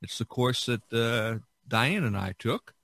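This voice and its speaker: A man's voice: medium pitch, expressive, unhurried, gravelly, a bit bright, no room sound. A middle-aged American man hosting a podcast in a casual, conversational way. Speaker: podcast host.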